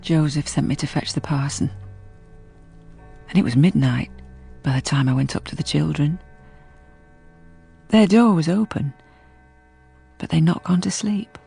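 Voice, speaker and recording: A woman playing a sensible servant who narrates a radio period drama in a measured, confiding way. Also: deep voice, creaky, dark tone, breathy edge, faint music behind, exaggerated voice. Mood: reflective. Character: sensible female servant, calm storyteller looking back.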